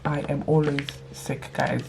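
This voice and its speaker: A young woman with a nasal, allergy-stuffed voice, reading scripture aloud and adding quick casual asides in a Bible study vlog. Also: a bass voice, little pitch movement, vocal fry, darkish tone, slight background noise. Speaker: vlogger leading a Bible study.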